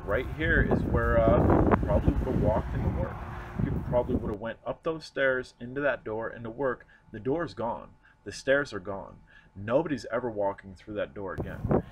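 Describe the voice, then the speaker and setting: A man narrating his vlog outdoors, earnest and reflective, often pausing and restarting. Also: mid-range voice, noisy background. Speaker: urban explorer vlogger. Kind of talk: casual walk-and-talk narration. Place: outdoors at a snowy demolition site.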